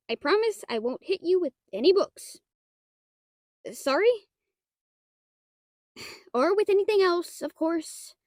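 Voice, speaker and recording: A very high, girlish voice, recorded close and dry. It is a woman acting as a shy young half-dragon librarian, bookish and easily flustered, who stumbles over words in an intimate roleplay aimed at the listener. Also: no echo. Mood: nervous, pleading. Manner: hesitant, stammering, bookish wording, easily flustered.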